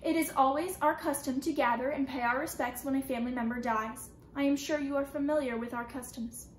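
A woman voicing a calm, quick-witted mother speaking to a soldier, reading a storybook aloud in an echoey room. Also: high voice, lightly acted. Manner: calm, steady. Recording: roomy echo, faint background noise.

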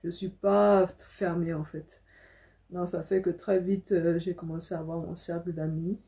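A woman's voice: lowish voice, little pitch movement, a little slow, creaky, mellow. A young adult woman from Africa telling a personal story casually to camera as a vlogger, indoors in a small room.

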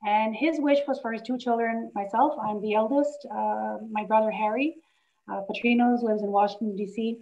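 Woman, 60s, higher register, earnest, reflective guest on a muffled video call. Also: fairly even intonation. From Greece, raised in Canada. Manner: earnest, reflective, slightly halting. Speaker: guest artist. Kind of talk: personal storytelling on a webinar. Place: home living room, indoors. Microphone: video call, laptop camera.

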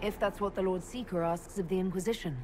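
An actress playing a composed, resolute female leader in a video game, speaking calmly and formally. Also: mid-range voice, fast, over music, close to an everyday voice. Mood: questioning. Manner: calm, formal. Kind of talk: video game cutscene acting.

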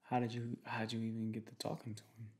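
A young man speaking in a casual, slangy, halting way in an ASMR boyfriend roleplay. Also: medium pitch, monotone, darkish tone. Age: young adult. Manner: slangy, laid-back, halting with repeated phrases. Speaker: ASMR creator playing a protective boyfriend. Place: small home room, indoors.

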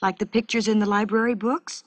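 A woman reading scripted story narration for an educational film strip, with a clear storytelling delivery. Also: medium pitch, fairly even intonation, brisk, no room sound.

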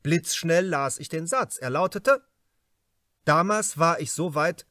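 A man reading a crime novel as an audiobook narrator, in a measured, expressive and carefully articulated way. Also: on the high side, no echo.

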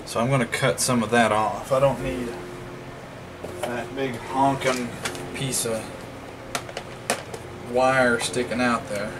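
Clear background noise sits behind a man with a mid-pitched voice. He talks in an informal, folksy way, thinking aloud while he does hands-on repair work. It sounds like a casual home-made DIY vlog recorded at a work table. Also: fairly even intonation, slow, pauses often. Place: indoors at a wooden work table.

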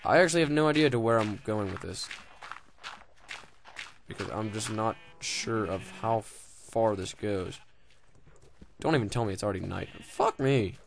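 A man's voice: lowish voice, normal speed, creaky, long pauses, a little hiss or hum. A young man casually commenting on his game, rambling and joking with lots of swearing and fillers. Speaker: gaming video host.

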